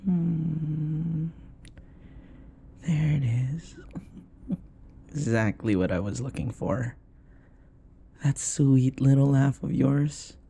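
A man playing an affectionate, teasing young boyfriend in an intimate comfort audio roleplay, speaking in a soft, tender murmur. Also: mid-range voice, extremely slow, vocal fry, long pauses, slightly rough, full character voice.

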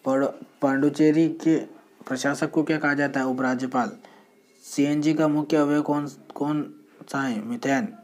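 A man presenting a general knowledge quiz, reading each question and its answer in a steady voice with short restarts. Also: fairly high pitch, measured pace.

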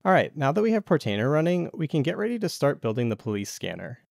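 A man's voice: on the high side, very animated, fast talker, gravelly, darkish tone, dry recording, clean studio sound. A man narrating a casual DIY tech tutorial as the video's host.

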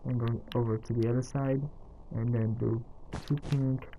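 A man narrating a casual step-by-step game tutorial in a halting, instructional way, counting out items as he goes. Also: mid-range voice, little pitch movement, gravelly, warm tone, highs cut off, a little hiss or hum. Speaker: tutorial host.

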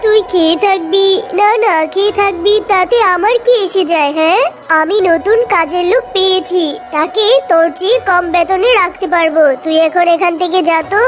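Woman as a haughty, high-voiced cartoon madam. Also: moderate pace, few pauses. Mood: dismissive. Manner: scolding, dismissive. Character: haughty, callous lady employer in animal cartoon. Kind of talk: children's cartoon story dialogue. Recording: music behind the voice.

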